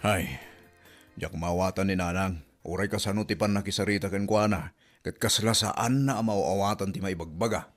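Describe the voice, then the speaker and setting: A man's voice: deep voice, steady tone, moderate pace, faint music behind. Man, Ilocano accent, bewildered husband with curt questioning replies. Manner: puzzled, short questioning replies. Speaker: husband and son in a comedy drama.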